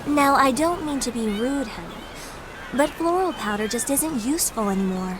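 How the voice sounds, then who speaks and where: An actress playing a condescending townswoman in a fantasy game. Her voice is fairly high, with a patronizing, dismissive delivery. There is clear background ambience around her. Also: lively intonation, normal speed, noisy background, natural voice. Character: condescending, matter-of-fact townswoman brushing off a seller. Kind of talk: video game cutscene voice acting.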